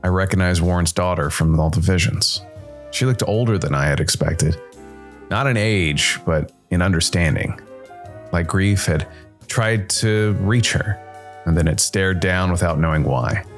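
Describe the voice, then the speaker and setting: A man voicing a weary, somber jazz musician who narrates a noir story in a brooding, deliberate, dramatic way. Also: low-pitched. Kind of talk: scripted noir first-person narration.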